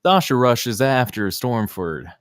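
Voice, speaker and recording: A man's voice: medium pitch, sing-song, takes it slowly, no echo, studio quality. A man narrating scene descriptions and stage directions at a screenplay table read.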